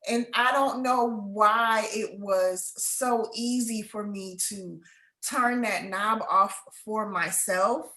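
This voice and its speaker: A woman hosting a conversation, a writing coach, speaking in a heartfelt, halting way. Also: mid-range voice, sharp tone. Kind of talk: casual conversation. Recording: echoey room.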